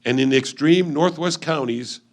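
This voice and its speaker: A man playing a keen, observant 1850s husband newly arrived out West, reading his letter home in a measured, old-fashioned way for reader's theatre. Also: fairly high pitch, lightly acted. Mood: impressed, keen.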